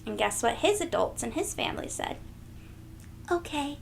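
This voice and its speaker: A woman reading a storybook aloud to young children, expressive and gentle, speaking directly to them. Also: high voice, normal speed, vocal fry, slight background noise. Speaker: children's read-aloud host.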